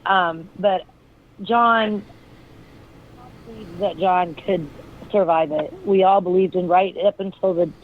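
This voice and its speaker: Raspy hoarse woman on a phone line. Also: lowish voice, steady tone, slow pace, long pauses. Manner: rambling, searching, full of fillers. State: hoarse from illness. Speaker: guest, friend of the deceased. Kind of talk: casual interview talk.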